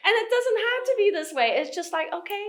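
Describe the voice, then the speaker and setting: A woman's voice: very high-pitched, animated, quick pace. A woman in her forties from Hong Kong, with a mixed British and American accent, talking as a guest in a casual interview and acting out her own thoughts with restarts and laughs. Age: 40s.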